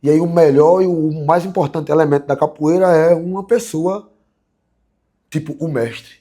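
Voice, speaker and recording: A man speaks as a capoeira teacher in an interview. His talk is reflective and halting, with phrases repeated and stacked into lists as he works out his thought. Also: on the high side, warm tone.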